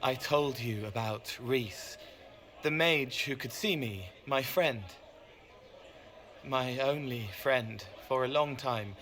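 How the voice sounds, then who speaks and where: An actor playing a gentle, troubled young man with a hesitant, wistful manner, in a video game cutscene. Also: mid-range voice. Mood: reflective, tender. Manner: hesitant, halting, plain-spoken and wistful. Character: gentle, troubled young man, once a spirit, childlike and haunted.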